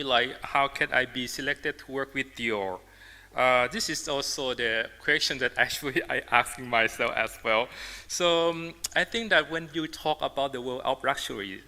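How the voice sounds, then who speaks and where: A man from Thailand with a Thai accent, a native Thai speaker, giving a symposium talk as an artist and designer in halting, emphatic English phrases. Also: mid-range voice, bright, forceful tone. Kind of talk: public presentation in non-native English. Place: large indoor symposium hall. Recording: reverberant hall, amplified talk.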